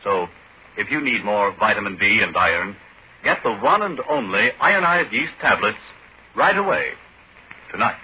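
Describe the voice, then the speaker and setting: Low-voiced male 1940s radio announcer. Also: expressive, moderate pace, breathy edge. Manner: emphatic, salesmanlike. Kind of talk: 1940s radio sponsor pitch. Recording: muffled, noisy 1940s radio recording.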